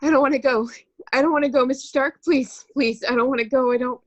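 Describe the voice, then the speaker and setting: Woman playing a frightened, high-voiced teenage boy hero. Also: steady tone, fast. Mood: desperate pleading. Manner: stammering, repeating pleas. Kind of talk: amateur table read acting.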